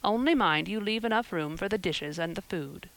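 A woman narrator acts out a practical, stern older woman in a storybook. The guardian speaks bluntly and briskly, with a scolding edge and no patience for nonsense. The sound is dry and close. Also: medium pitch, creaky, a bit bright, dry recording, rough recording. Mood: dismissive.

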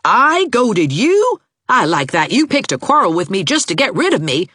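Exasperated young man as a woman reads him, blustering, lovelorn, wildly animated delivery for a storybook. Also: sits low, moderate pace, slightly rough, dry recording, studio quality, voice pushed up, heavily acted. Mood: exasperated, pleading. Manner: blustering, emphatic. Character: exasperated, sulky young man, hopelessly in love.

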